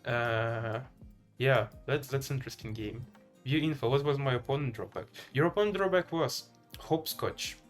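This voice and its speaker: A man's voice: medium pitch, normal speed, soft background music. A man from Ukraine with a Ukrainian accent, giving casual live game commentary and chatting to viewers in a hesitant, thinking-aloud way. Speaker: live streamer playing chess.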